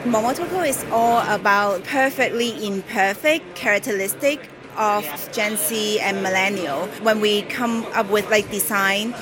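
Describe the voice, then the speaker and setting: A woman's voice: medium pitch, unhurried, few pauses, crowd noise. A woman from Hong Kong, a toy company's creative director, talking casually with many "like" and "you know" fillers. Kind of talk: casual interview.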